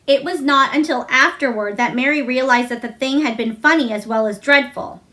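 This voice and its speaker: A woman reading a children's novel aloud as narrator, in an expressive storytelling style. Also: high-pitched, moderate pace. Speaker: storybook reader.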